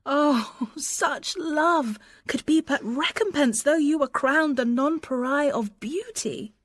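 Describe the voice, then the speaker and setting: An actress playing a bold, passionate young woman disguised as a gentleman messenger, speaking verse earnestly and lyrically in a Shakespearean radio drama. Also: sits high, no echo. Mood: reproachful, ardent. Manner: earnest, lyrical, deliberate delivery.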